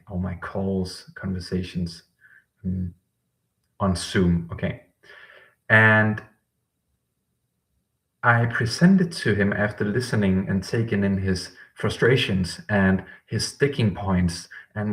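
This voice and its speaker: Gravelly low-voiced male language coach. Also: low-quality recording. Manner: rambling, frequent ums, self-corrections. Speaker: Danish language coach hosting a live Q&A. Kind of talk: live-stream talk to chat viewers.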